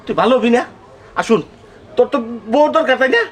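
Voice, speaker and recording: Man playing a high, animated scolding village father. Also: fast talker. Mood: exasperated, mocking. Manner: scolding, mocking. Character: exasperated, scolding village father of grown son. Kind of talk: comedic village drama acting.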